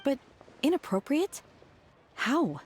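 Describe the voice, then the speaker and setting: Earnest literal-minded young woman, animated pitch. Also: slow pace, slight background noise, exaggerated voice. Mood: puzzled. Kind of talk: video game voice acting.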